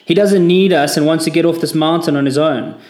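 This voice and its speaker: Guy putting on a mean army corporal, loud and barky, higher and pushier than usual, echoey room. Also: brisk, close to an everyday voice. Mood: barking scorn. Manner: barking, scornful shouting. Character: harsh, contemptuous male army corporal.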